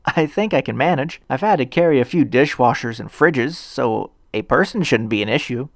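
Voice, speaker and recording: A man's voice: medium pitch, lively intonation, moderate pace, creaky, no echo, studio quality. A man voicing an earnest, polite teenage boy hero in a fan fiction reading, eager and a little nervous, with a stammer. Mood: reassuring.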